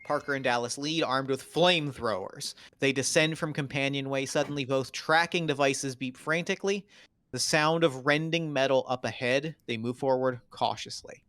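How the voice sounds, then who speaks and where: A man's voice: mid-range voice, moderate pace, fairly bright tone, no echo. A man narrating stage directions at a script table read in terse, clipped, dramatic phrases.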